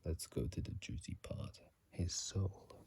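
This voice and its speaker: A man speaks in a husky, breathy murmur, often slipping into a whisper, close to a phone mic. His voice is very deep and dark, with slow, soothing delivery for ASMR game commentary. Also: lively intonation. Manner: soft, soothing, unhurried murmur with repeated phrases. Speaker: host of a gaming ASMR video. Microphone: phone microphone, close up.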